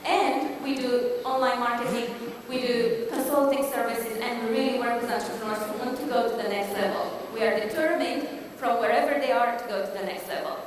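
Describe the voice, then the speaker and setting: A woman's voice: fairly high pitch, steady tone, brisk, hardly any pauses, noisy background. A woman in her forties from Romania, with a Romanian accent, giving an emphatic motivational business talk and rallying the audience with questions. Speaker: business speaker. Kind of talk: motivational stage presentation. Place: large conference hall. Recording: echoey hall from far off.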